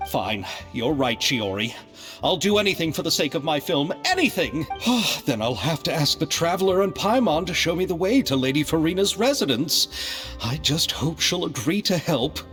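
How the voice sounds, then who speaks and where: Anxious, polite film producer for anime game: dramatic, swooping intonation, reverberant with music behind. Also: male voice, a little high, big pitch swings, normal speed, a bit bright. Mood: resigned, determined. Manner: hesitant, formal, dramatic.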